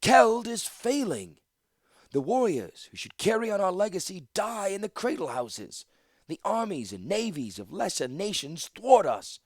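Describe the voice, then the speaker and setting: A man voicing a fierce, zealous female warlord with forceful, declamatory speech in a fantasy audiobook. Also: high-pitched, sing-song, a little slow, no echo, voice pushed up, heavily acted. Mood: impassioned, defiant.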